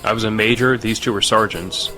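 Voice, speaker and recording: A man's voice: on the low side, fairly even intonation, fairly quick, bright, forceful tone, low-quality recording. A man acting as a weary, understated, terse ex-army major in an audio drama. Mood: guarded. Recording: music bed behind.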